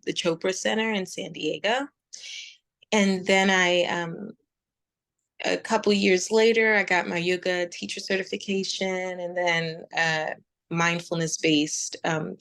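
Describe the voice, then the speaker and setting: A woman in her forties speaking as a meditation teacher and interview guest, telling her story conversationally with reflective, halting pauses. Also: fairly low pitch, creaky, a bit bright. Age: 40s.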